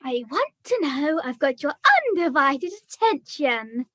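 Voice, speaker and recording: A woman's voice: high voice, animated, unhurried, dry recording, studio quality. A young woman voicing a bossy, exasperated puppet in a comedy puppet show, with a stern, scolding manner. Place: video call.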